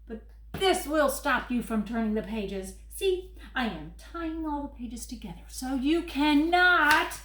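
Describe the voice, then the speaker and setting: A woman putting on a lovable furry monster from a storybook, high-pitched and fretful, who begs and gasps in a frantic, pleading way. The voice bounces around a roomy, echoing space. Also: animated, slow pace, fairly bright tone, heavily acted. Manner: frantic, begging, gasping. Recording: roomy echo.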